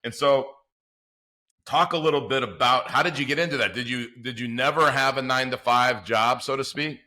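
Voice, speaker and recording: A man's voice: mid-range voice, normal speed, sharp tone. A man hosting a podcast, chatty and enthusiastic as he talks with his guest. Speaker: podcast host. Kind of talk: casual interview chat.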